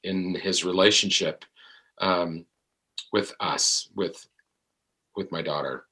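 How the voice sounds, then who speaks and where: A middle-aged man with a low voice and a bright, firm edge talks as an interview guest. He is reflective and conversational, speaking haltingly and often repeating words while he looks for the next one. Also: fairly even intonation, slightly rough. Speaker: voice actor as podcast guest.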